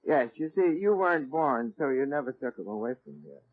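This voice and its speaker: A man's voice: medium pitch, sing-song, a little fast, lightly acted. A man playing a patient, wry guardian angel in a 1940s radio comedy, explaining things in a matter-of-fact way. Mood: wry amusement. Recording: tinny, very noisy old radio recording.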